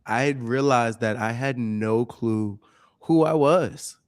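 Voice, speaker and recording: A man from Brooklyn hosting a casual interview, relaxed and reflective, and quick to laugh. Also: medium pitch, smooth, no room sound, studio quality. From Brooklyn, New York. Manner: laid-back, laughs easily, reflective.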